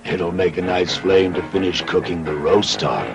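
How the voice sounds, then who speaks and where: A man's voice: low voice, steady tone, moderate pace, highs cut off, over loud music. A man voicing a menacing, bullying puppet theater owner in a dubbed cartoon, domineering and threatening. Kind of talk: dubbed cartoon villain acting.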